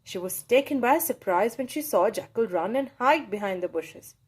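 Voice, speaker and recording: A woman reading a children's story aloud for her channel, in a cheerful, expressive way. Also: fairly high pitch, moderate pace, slightly rough. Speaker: storybook reader, channel host.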